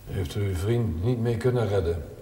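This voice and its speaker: Actor as a mellow, measured man, very reverberant. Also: fairly low pitch, close to an everyday voice. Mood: wry, probing. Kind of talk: naturalistic television drama acting. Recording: roomy, distant, faintly noisy 1970s television soundtrack.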